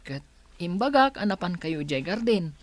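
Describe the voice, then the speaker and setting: A woman's voice: fairly low pitch, big pitch swings, moderate pace, no echo. A woman playing an earnest child talking to a mother in a Philippine radio drama. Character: farm wife's child, earnest, reporting gossip.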